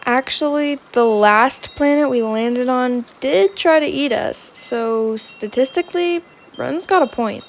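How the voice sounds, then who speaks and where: A woman's voice: high-pitched, a little slow, few pauses, a little hiss or hum. A woman playing a practical, wry spaceship crew member in a sci-fi audio sitcom.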